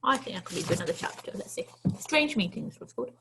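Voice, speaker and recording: A woman's voice: mid-range voice, lively intonation, fast talker, sharp tone, husky. A woman teacher reading a children's story aloud to pupils, expressively and with dramatic, emphatic phrasing.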